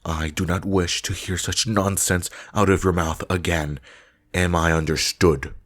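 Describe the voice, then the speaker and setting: A man's voice: sits low, fairly even intonation, unhurried, fairly bright tone. A man playing a stern, haughty vampire combat master in a roleplay audio drama, formal, blunt and commanding.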